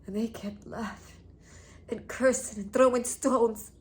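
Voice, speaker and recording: A woman playing a traumatised, lonely young woman in a theatre monologue, halting and intense, often slipping into a breathy hush. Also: fairly high pitch, steady tone, lightly acted. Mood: distressed.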